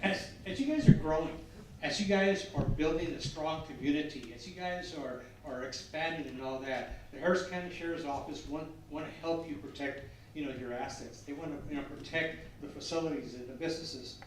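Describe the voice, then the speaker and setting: A man from a sheriff's office speaking to a chamber meeting, halting, with many fillers. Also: a little high, noisy background. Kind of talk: community presentation. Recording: echoey room, far from mic.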